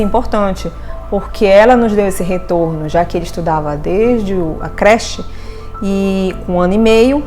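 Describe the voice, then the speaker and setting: A woman's voice: on the high side, darkish tone. Earnest woman in her forties. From Brazil. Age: 40s. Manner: earnest, reflective, with many restarts and tag questions. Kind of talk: personal testimony interview.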